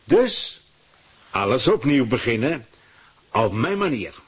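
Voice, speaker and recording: An actor playing a pompous, power-hungry government ruler in a radio play, declaiming with a husky voice. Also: male voice, mid-range voice, sing-song, takes it slowly, full character voice. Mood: grandiose.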